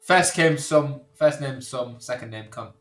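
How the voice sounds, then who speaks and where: A man's voice: mid-range voice, unhurried. A man bantering casually as a podcast co-host, with a sweary, jokey way of talking. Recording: roomy, echoey sound.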